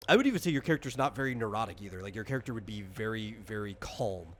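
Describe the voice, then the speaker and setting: A man's voice: on the low side, expressive, racing, fairly bright tone, a little hiss or hum. Rambling man explaining a tabletop game. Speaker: game designer and game master. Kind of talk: casual tabletop game walkthrough.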